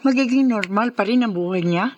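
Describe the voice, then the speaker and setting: A woman playing a caring fiancée in a radio drama, concerned and gently advising. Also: on the high side, brisk, dull audio, close to an everyday voice. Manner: concerned, counselling.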